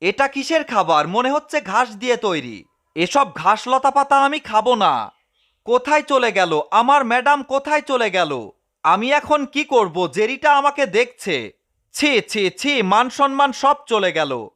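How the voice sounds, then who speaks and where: A man's voice: sing-song, unhurried, heavily acted. A man voicing a greedy, mischievous cartoon cat in a comedy dub, with melodramatic pleading and gloating. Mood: whining, pleading. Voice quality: high-pitched, sharp. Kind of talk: fan-dubbed cartoon comedy.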